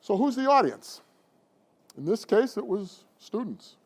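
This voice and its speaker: Middle-aged man, speaking coach, mellow tone, slightly high pitch, animated, conversational. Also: unhurried. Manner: animated and conversational, addressing the room. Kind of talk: presentation to a class.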